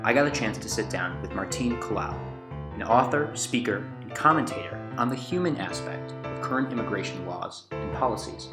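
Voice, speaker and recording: A man's voice: mid-range voice, expressive, normal speed, reverberant, over music. A man hosting a podcast interview, polished and complimentary toward his guest. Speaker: podcast host, editor.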